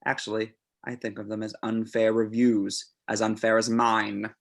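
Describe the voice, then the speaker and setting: A man acts out a fanatical killer in a screenplay read, composing a letter to his idol. His voice sits on the low side, and he speaks in measured, formal phrases. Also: level tone, vocal fry. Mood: reverent admiration. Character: obsessed male serial killer writing to his idol.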